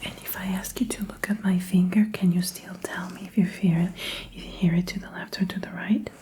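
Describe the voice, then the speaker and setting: Casting: female ASMR doctor, hushed whisper, soft mellow tone, gentle exam instructions. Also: lowish voice, little pitch movement, brisk. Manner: gentle, attentive, softly instructing. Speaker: ASMR performer playing a doctor in a medical exam roleplay. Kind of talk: whispered ASMR medical roleplay.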